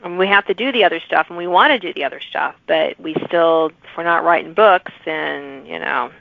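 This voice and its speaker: A woman's voice: deep voice, fairly quick, gravelly, slight background noise. A woman hosting a podcast, interviewing a guest with friendly questions and frequent encouraging affirmations. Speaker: podcast host. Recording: dry, phone-like remote line.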